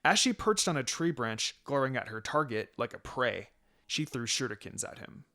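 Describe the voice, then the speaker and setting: A man's voice: mid-range voice, big pitch swings, moderate pace, sharp tone. Man reading a story aloud. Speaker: fan fiction reader. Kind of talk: scripted storytelling narration.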